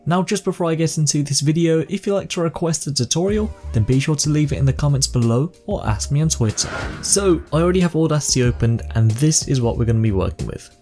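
A young man hosting a video tutorial, explaining software steps one by one into a close microphone. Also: fairly high pitch, animated, fast talker, hardly any pauses, faint music behind. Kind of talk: step-by-step software tutorial narration.

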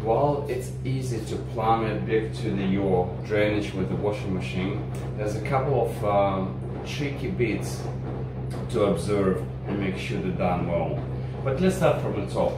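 A man in his forties giving a casual, unhurried how-to demonstration, with halting restarts, filmed on a phone at arm's length in a small laundry room. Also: lowish voice. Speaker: home appliance video maker. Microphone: phone camera on selfie stick at arm's length. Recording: echoey room with washing machine noise.

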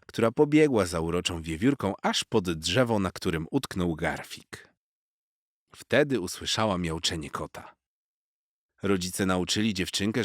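A man's voice: low voice, no room sound. A man narrating a children's story expressively from a script, close on the mic.

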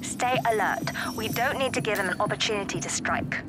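A woman's voice: medium pitch, fairly quick, lightly acted, rough recording. An actress playing a stern, exasperated woman commander giving terse orders to agents in a video game. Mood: commanding. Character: stern female commander directing agents over radio, exasperated. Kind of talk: video game mission dialogue. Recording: loud game music behind.

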